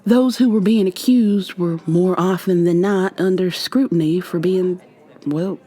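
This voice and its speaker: A woman with an American accent playing a playful, flirty modern witch in an intimate ASMR roleplay, speaking softly and teasingly to the listener. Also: lowish voice. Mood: earnest, indignant. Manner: teasing, affectionate, conversational with trailing pauses. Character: playful, flirty modern witch mentoring a new coven member.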